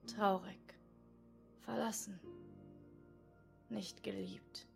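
A woman leads a guided meditation in a soft voice that often drops to a whisper. She speaks very slowly, with a gravelly, creaky edge to her tone. Faint music plays under her voice. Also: a little high. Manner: slow soft whispery guidance. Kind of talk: guided inner-child meditation.

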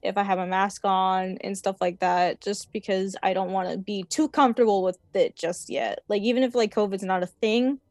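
A woman's voice: medium pitch, fairly even intonation, normal speed, hardly any pauses, a little mellow, no room sound. Chatty young woman with many fillers. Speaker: podcast guest. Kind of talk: casual chat.

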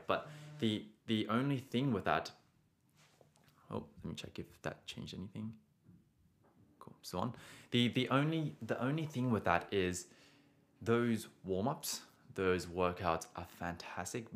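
A young man teaching singing on a live stream, answering viewer questions casually and often adding "right?". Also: low voice. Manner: casual, encouraging, frequent "right?" tags.

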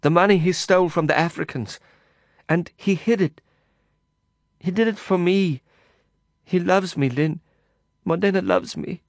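A woman's voice: lowish voice, unhurried, long pauses, darkish tone, no room sound, clean studio sound, voice pushed up. A man voicing a distraught young woman who pleads desperately through tears, repeating herself. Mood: desperate pleading.

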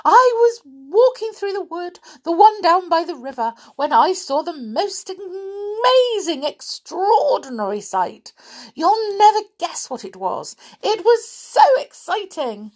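A woman voicing an excitable, gushing little chatterbox lady from a children's storybook, rambling breathlessly in exclamations. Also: very high-pitched, slow pace, voice pushed up, full character voice. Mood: gushing excitement.

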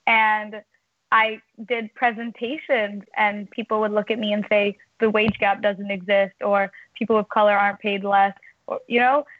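A woman's voice: on the high side, no room sound. A young woman calling in to a radio show, telling her own story earnestly, with frequent "like". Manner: earnest, uses filler "like". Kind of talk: personal account on radio. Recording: tinny phone line.

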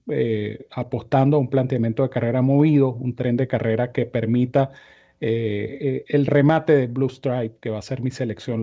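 A man giving horse racing analysis as co-host, measured and explanatory with formal phrasing, on slightly dull audio. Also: medium pitch, warm tone. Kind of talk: racing analysis and tipping commentary.

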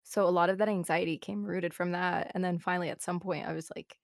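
A woman's voice: lowish voice, fairly even intonation, gravelly, dry recording, clean studio sound. A young woman in her early twenties, a streamer talking casually and earnestly with many fillers. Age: young adult. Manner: fast, filler-heavy, earnest talk. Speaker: guest streamer. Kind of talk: casual chat.

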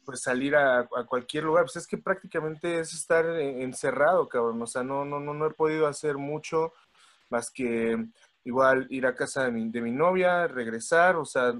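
Man, Mexico City accent, medium pitch, casual slang, swearing, halting repetitive delivery. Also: a bit bright. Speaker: podcast panelist. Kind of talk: casual chat.

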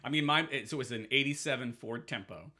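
A man's voice: medium pitch, fast, pushed and bright. A man in his forties from Massachusetts, chatting casually on a podcast and telling rambling stories full of fillers and swearing. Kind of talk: casual chat.

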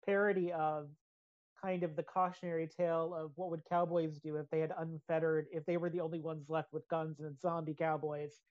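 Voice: medium pitch, level tone, normal speed, no room sound, lo-fi sound. A podcast co-host chatting casually about pop culture, explaining details with enthusiasm.

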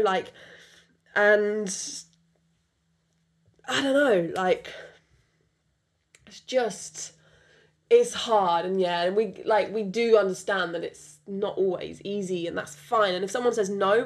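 Rambling British-accented woman host. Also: medium pitch. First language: French. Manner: rambling, many fillers and restarts. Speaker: podcast host. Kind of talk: casual podcast chat.

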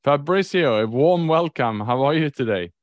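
A man's voice: a little high, expressive, normal speed, no room sound, clean studio sound. A man hosting a business podcast, asking a guest polite, welcoming questions. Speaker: podcast host. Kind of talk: podcast interviewing.

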